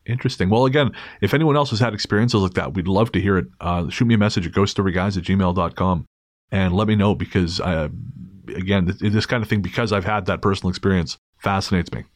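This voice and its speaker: A man's voice: low voice, quick pace, no room sound, clean studio sound. A man hosting a podcast, talking in a thoughtful, candid, self-deprecating way. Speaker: podcast host. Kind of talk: casual podcast chat and read-out story.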